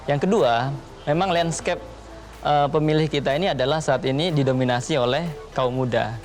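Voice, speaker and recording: A young man on a television talk panel. His voice sits a touch high, and he lays out his argument point by point at an even, measured pace. Faint music plays softly under the studio sound. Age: young adult. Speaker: talk show guest.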